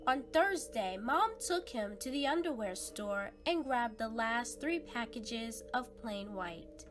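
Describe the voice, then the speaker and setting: A woman's voice: a little high, expressive, takes it slowly. A woman narrating a children's read-aloud expressively, with dramatic, suspenseful emphasis. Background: background music.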